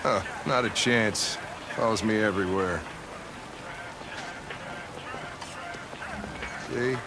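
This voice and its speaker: A man playing a jaded older police lieutenant in a video game, with an American accent and a gruff, sardonic, blunt delivery. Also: fairly low pitch, normal speed, background noise, natural voice. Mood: gruff, dismissive.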